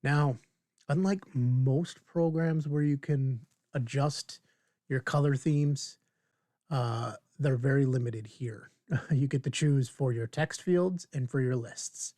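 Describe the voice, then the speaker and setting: A man in his fifties hosting a casual screen-share software tutorial, informally thinking aloud as he demonstrates. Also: medium pitch, takes it slowly, no room sound, clean studio sound. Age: 50s. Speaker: video tutorial host.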